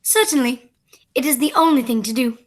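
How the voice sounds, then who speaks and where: A woman's voice: high-pitched, fairly quick, darkish tone. A woman acting a prim, romantic young society girl with formal speech in a radio play comedy.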